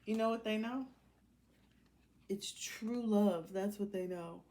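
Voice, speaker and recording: A woman's voice: mid-range voice, takes it slowly, dark tone. An American woman giving a casual, rambling tarot card reading in a blunt, sassy, colloquial way.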